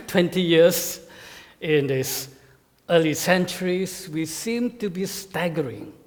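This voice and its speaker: A man giving a conference talk to pastors as a guest speaker, with a measured, halting delivery full of fillers and repeated words. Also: high voice. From Malaysia. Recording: reverberant hall sound.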